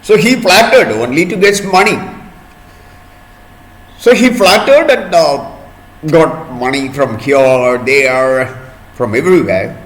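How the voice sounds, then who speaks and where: A man telling a folk tale to children in a deliberate manner, pausing often and repeating or explaining words as he goes. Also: on the high side, lively intonation, fairly bright tone, low-quality recording. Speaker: storyteller for children. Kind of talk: storytelling for children, with word explanations.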